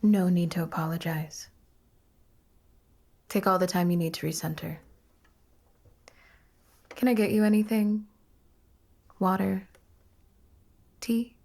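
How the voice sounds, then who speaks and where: A reassuring woman therapist with a slightly low voice. She talks in a measured, soothing manner with gentle humor, as natural audio drama dialogue. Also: fairly even intonation, vocal fry, heavily acted. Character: calm, reassuring female dream therapist, a mother. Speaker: dream therapist.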